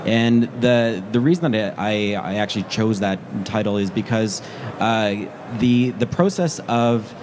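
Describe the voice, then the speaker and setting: A man on a conference panel, halting and full of fillers as he explains his book title. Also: on the low side, a little mellow, slight background noise. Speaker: author on a panel. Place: large conference stage.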